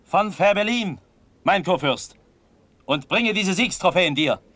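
An actor playing a proud, impetuous young prince and officer in a classical radio drama, with theatrical, impassioned delivery. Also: male voice, sits high, animated, unhurried, fairly bright tone, a little hiss or hum.

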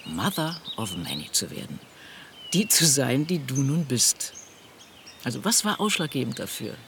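A man playing a thoughtful, probing interviewer in a radio play, with deliberate and formal phrasing. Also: mid-range voice, very animated, a bit bright. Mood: curious, probing. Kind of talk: scripted radio drama interview. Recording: noisy outdoor ambience with birds.

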